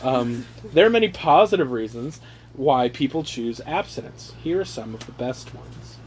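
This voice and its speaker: A man casually reading aloud and riffing on the text with sarcastic, profane, animated asides, on an amateur home recording. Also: mid-range voice, moderate pace, a little hiss or hum. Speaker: reader riffing on a handbook aloud to his brothers. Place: casual room with brothers chiming in.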